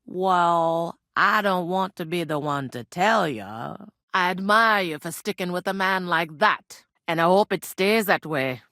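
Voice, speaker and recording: An actress playing a brusque, gossipy, world-weary medieval village innkeeper in a video game, talking in blunt, earthy, scolding phrases. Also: female voice, on the low side, lively intonation, measured pace, bright, forceful tone, no room sound, clean studio sound. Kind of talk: video game character dialogue.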